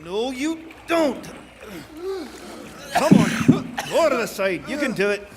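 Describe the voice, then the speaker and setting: An actor playing a kindly gentleman boatman, hearty, chummy and urging, in a theatrical live audio drama. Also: very high-pitched, big pitch swings, slow, a loud crowd, heavily acted. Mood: urgent.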